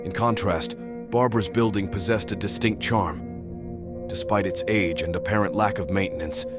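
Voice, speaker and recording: Audio drama role: a courteous, controlled male stalker, played by a man, low register, formal delivery, clear music bed. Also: steady tone, moderate pace, lightly acted. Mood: calm, scheming. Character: male stalker posing as polite tech support worker, narrating calmly. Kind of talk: scripted drama narration and dialogue.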